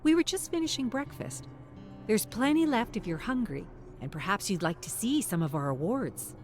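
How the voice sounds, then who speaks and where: A woman's voice: on the high side, lively intonation, normal speed, a little mellow, soft background music, voice pushed up, lightly acted. A woman narrator voicing a cheerful, friendly young woman, a loyal teammate in a game story. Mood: bright, welcoming.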